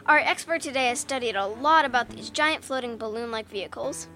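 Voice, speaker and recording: Female co-host, tense bright tone, upbeat questions, light music bed. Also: fairly high pitch, fairly quick. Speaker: podcast co-host. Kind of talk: scripted kids' podcast hosting.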